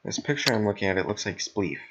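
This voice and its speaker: A young man co-hosting a podcast in blunt, rambling, sweary casual chat, with a slightly raspy voice. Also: fairly low pitch, lively intonation, quick pace, a bit bright, highs cut off, slight background noise. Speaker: podcast co-host.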